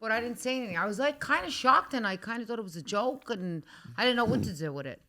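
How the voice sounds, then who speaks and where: A woman's voice: a little high, animated, fast talker, sharp tone. A woman actress guesting on a talk show, plain-spoken and matter-of-fact. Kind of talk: casual chat.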